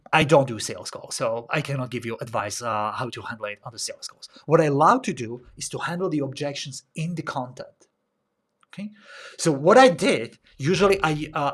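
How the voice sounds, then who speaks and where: Casting: young Slovak-accented male coach, slightly high voice, animated, acts out examples. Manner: animated, acts out little dialogues, frequent filler sounds. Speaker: online business coach. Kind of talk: casual teaching monologue.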